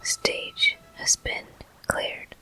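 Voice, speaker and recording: A person whispering gameplay commentary for an ASMR video, breathy and gentle, in short, unhurried phrases. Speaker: host of a gaming ASMR video.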